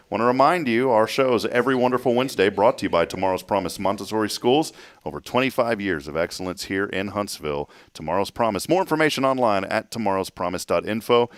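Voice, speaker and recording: A man's voice: on the low side, clear, resonant tone. A man hosting a morning radio show in casual, jokey, upbeat banter.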